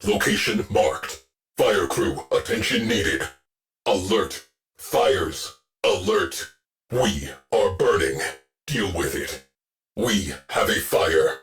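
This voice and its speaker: Grim shipboard AI, low-pitched, slow deliberate pace, clipped commands, deadpan, video game. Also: male voice, full character voice. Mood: alert, terse. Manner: clipped, stern, deadpan military delivery. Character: terse, grim military ship artificial intelligence, male, commanding. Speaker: shipboard tactical officer. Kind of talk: video game mission voice lines.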